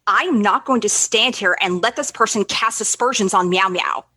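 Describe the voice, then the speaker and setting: Radio comedy science commander, female actor: brisk, self-assured, prickly, sharp husky voice, dry sound. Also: medium pitch, dry recording. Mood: defensive, indignant. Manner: brisk, confident, quick to bristle. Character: capable, feisty female space-station science commander. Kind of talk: comedy sci-fi radio acting.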